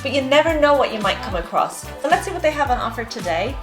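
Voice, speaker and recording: A woman's voice: sits high, animated, quick pace, music in the background. A woman vlogger talking casually and enthusiastically as she narrates a shopping trip.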